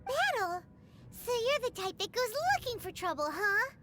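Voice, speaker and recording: A woman voicing a tiny, excitable, childlike fairy girl companion in a video game, with a squeaky voice. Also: normal speed, heavy background noise. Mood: suspicious. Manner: excitable, exclamatory, speaks of self in third person. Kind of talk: animated video game voice acting. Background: background music.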